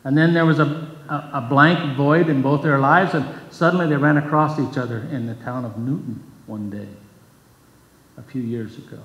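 An older man giving a sermon at a pulpit, earnest and measured, reading scripture between his points. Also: medium pitch, a bit bright, muffled recording. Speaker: pastor. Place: church sanctuary, at a pulpit. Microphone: pulpit microphone, far camera. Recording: echoey church hall from far off.

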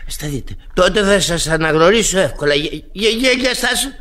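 A wry scruffy vagrant painter, slightly high voice, radio drama. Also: male voice, expressive, pushed and bright. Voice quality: wry, quick-witted, comic. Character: scruffy cheeky male tramp painter, wry. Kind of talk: Greek radio theatre acting. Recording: old radio recording.

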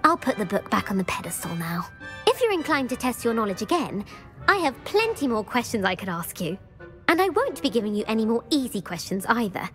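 A woman's voice: fairly high pitch, big pitch swings, fairly quick, fairly bright tone, soft background music. A young woman voicing a bookish student in a video game who eagerly lectures and quizzes others. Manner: eager and lecturing, bursts of praise.

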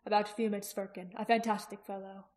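A woman voicing a calm, steady young male dragon trainer in an audiobook, talking evenly and soothingly. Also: medium pitch, lightly acted. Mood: even, coaxing. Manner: even, crooning, measured.

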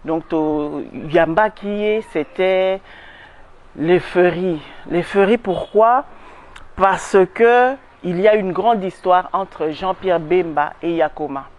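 A woman's voice: fairly low pitch, slow, a little mellow. A woman in her forties with a Congolese accent, giving an emphatic outdoor interview on a lapel mic. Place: outdoors in a garden.

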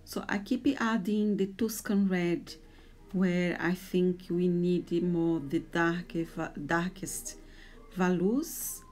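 A woman in her forties from Brazil, speaking English with a Brazilian Portuguese accent and talking softly and a little hesitantly as she explains a drawing tutorial. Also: lowish voice, little pitch movement, takes it slowly, faint music behind. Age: 40s. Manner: soft, hesitant, a little nervous. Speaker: art tutor.

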